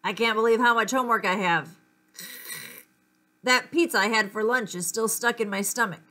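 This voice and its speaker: A woman's voice: fairly high pitch, moderate pace, pushed and bright. Storybook schoolkid thinking aloud, worried and a little silly , played by a woman. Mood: fretful. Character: fretful schoolchildren's private, silly everyday worries.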